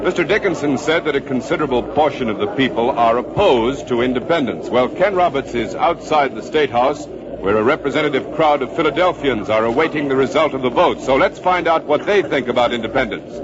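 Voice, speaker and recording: Mid-pitched male actor as composed radio correspondent. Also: fairly quick, close to an everyday voice. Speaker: reporter. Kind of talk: vintage radio news commentary, dramatized. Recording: dull old radio recording, crowd noise.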